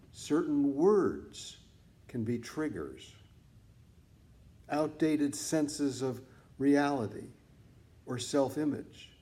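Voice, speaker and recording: Guy reading a mindfulness audiobook, really slow and measured, lots of pauses, relaxed mellow voice. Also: mid-range voice. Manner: deliberate, measured phrasing with pauses. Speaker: author reading own book on mindfulness. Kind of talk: scripted audiobook narration, meditation teaching.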